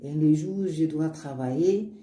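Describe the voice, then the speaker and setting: Low, dark-voiced woman. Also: normal speed. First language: African language. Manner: earnest, upbeat, speaks of faith, many restarts. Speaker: vlogger showing homemade oils. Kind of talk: casual show-and-tell, switching between English and French. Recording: echoey room with faint ambient noise.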